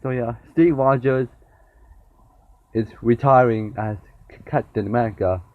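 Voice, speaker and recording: A man's voice: medium pitch, measured pace, no echo. A young man with a sore throat reviewing a TV show in a casual vlog, rambling and often repeating and restarting his words. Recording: dull lo-fi with faint noise.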